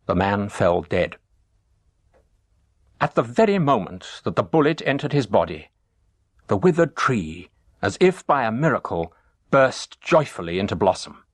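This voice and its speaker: A man reading a teaching story as a scripted narration, measured and expressive. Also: on the low side, dry recording, clean studio sound.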